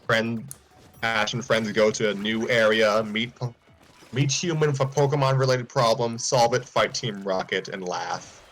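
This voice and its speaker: A man's voice: fairly low pitch, measured pace, a bit bright. A man hosting a casual livestream, rambling and correcting himself with chuckling asides. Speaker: host.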